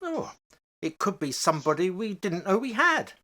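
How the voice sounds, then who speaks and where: A woman's voice: mid-range voice, big pitch swings, normal speed, pushed and bright. A woman playing a mild, homely working-class old husband and father in a radio play, speaking hesitantly. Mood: wry, hopeful.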